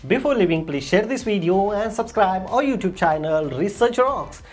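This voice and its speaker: A woman's voice: medium pitch, expressive, normal speed, a little mellow, faint music behind. A woman with an Indian accent hosting a tech tutorial, explaining steps in simple phrases with stressed openers. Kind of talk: step-by-step screen demonstration narration.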